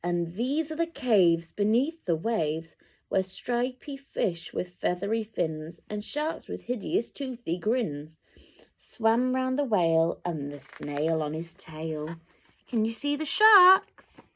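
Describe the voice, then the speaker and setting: A woman reading a picture book aloud to children, with expressive, dramatic emphasis and direct asides to young listeners. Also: medium pitch, takes it slowly.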